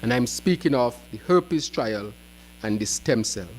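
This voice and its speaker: A man giving a prepared press conference speech as an opposition spokesman, in deliberate, emphatic short phrases. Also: mid-range voice, expressive, darkish tone, low-quality recording.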